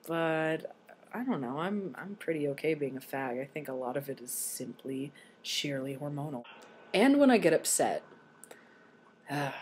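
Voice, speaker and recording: A young adult man with a voice slightly deepened early in transition, talking casually and candidly in a personal vlog, with some swearing. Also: breathy edge. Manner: candid, self-deprecating, sweary. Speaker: video blogger. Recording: noisy home recording.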